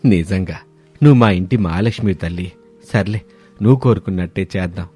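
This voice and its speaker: A man voicing a kindly older father-in-law, affectionate and playfully blunt, with slow, emphatic delivery, in a story. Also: deep voice, warm tone, breathy edge, voice pushed down. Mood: tender. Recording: studio voice over background music.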